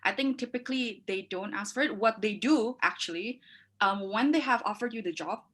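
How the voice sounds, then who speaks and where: A woman's voice: on the high side, brisk, a bit bright. A young woman from Indonesia giving casual, chatty advice on a panel, talking freely with lots of filler words. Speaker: panel speaker.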